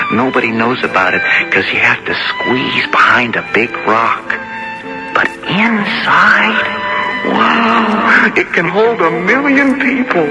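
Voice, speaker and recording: An actor playing an eager, trusting, simple-minded boy who loves nature, with slow, plain phrasing in a 1970s radio drama. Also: a little high, full character voice, low-quality recording. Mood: amazed, excited. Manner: slow, simple phrasing with wide-eyed exclamations.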